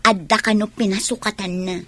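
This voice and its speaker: Actress playing husky, worried village woman. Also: mid-range voice, fairly even intonation, fairly quick, fairly bright tone, close to an everyday voice. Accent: Ilocano. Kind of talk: radio comedy drama acting.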